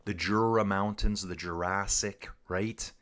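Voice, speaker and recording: Low-voiced chatty man commentating a stream. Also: fairly even intonation, measured pace, fairly bright tone. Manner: chatty, says "guys" and "dude" often. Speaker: livestream cycling commentator. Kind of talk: casual livestream commentary reading chat. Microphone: earbuds.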